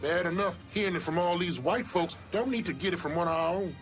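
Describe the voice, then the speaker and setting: A man's voice: high voice, fast talker, a bit bright, faint music behind. An actor playing a disgruntled working man in a video game, grumbling bitterly about his boss. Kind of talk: video game cutscene acting.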